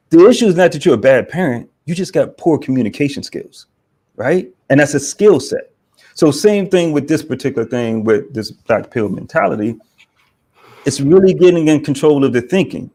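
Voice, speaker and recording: A man on a podcast, explaining things calmly and tagging lines with "right?". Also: on the high side. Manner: measured, explanatory, frequent "right?". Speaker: podcast guest. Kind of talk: reflective podcast talk.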